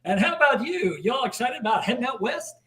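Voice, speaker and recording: A man's voice: very high-pitched, very animated, a little fast, sharp tone, full character voice. A man playing a jovial, folksy, wisecracking small-town mail carrier in a table read of a comedy series. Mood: jovial, breezy. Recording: echoey room.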